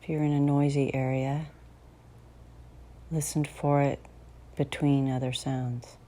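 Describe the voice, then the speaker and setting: A woman leading a guided meditation in a soothing, unhurried manner with long pauses, her soft voice sometimes dropping to near a whisper. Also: extremely low pitch, flat delivery. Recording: faint background noise and ambience.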